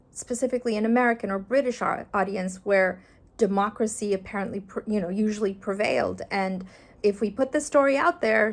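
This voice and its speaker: A middle-aged woman from Afghanistan, a journalist, talking earnestly and thoughtfully in an interview, with some fillers. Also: mid-range voice. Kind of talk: reflective interview answers.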